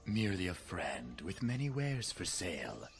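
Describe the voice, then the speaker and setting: A man voicing a polite, sly creature merchant in a video game, speaking in a soft, courtly way. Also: low voice, very animated, normal speed, vocal fry, heavily acted. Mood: courteous. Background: game music.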